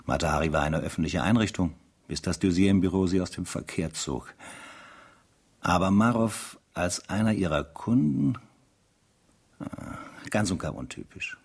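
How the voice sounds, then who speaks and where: Gravelly, very deep-voiced brooding investigator. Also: male voice, heavily acted. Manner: pensive, sardonic, rhetorical questions. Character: ruminating, sceptical male narrator weighing evidence. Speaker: narrator. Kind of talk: dramatised radio play narration.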